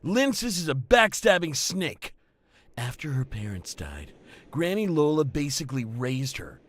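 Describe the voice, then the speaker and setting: An actor playing a hot-headed, resentful pirate in an anime game, brash and scornful in delivery. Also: male voice, on the high side, a bit bright. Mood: bitter. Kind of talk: English anime game voice acting.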